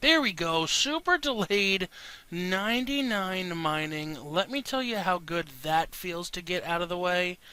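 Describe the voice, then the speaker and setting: A man's voice: high voice, pushed and bright, clear, resonant tone. A man giving casual gameplay commentary in a rambling, informal way, as a video creator talking to viewers.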